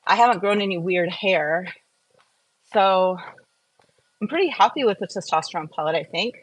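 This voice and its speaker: A woman's voice: lowish voice, lively intonation, moderate pace, dry recording, rough recording. A woman vlogging in a casual, chatty question-and-answer and review style, rambling and often interrupting herself as she gets distracted. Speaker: skincare and wellness vlogger.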